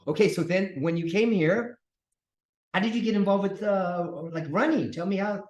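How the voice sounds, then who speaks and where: A man's voice: sits high, normal speed, darkish tone. A man hosting a podcast as a fitness coach, casually interviewing a guest and encouraging her as she talks. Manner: easygoing, encouraging, repeats guest's answers. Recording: echoey room.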